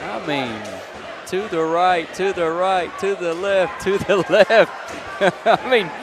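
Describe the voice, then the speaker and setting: A man's voice: high-pitched, slow. A man giving casual live color commentary on a basketball game, with joking and exclamatory reactions. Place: high school basketball gym. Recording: loud crowd and noise in gym. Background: loud crowd noise, basketball bounces.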